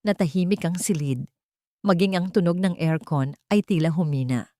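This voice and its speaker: The low female voice that tells a dramatic audio serial late at night, right up close with no room around it. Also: moderate pace, mellow, no room sound. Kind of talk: dramatic scripted story narration.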